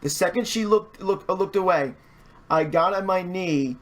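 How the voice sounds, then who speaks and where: Higher-pitched man in casual, stammering chat. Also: normal speed. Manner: animated retelling with stammered false starts and repeated words. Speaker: podcast guest, hobby artist and fandubber.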